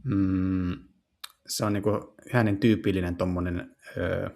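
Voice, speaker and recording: A man doing a casual let's play, translating as he goes and haltingly searching for words. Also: low-pitched, level tone, creaky, a little mellow. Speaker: streamer playing and translating visual novels.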